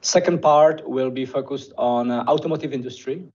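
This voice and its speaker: A man's voice: medium pitch, a little mellow. Czech man presenting over a muffled call. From Czech Republic. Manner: measured, with frequent hesitations. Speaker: conference presenter. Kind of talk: formal presentation on a video call. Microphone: video call. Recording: dull muffled video-call audio.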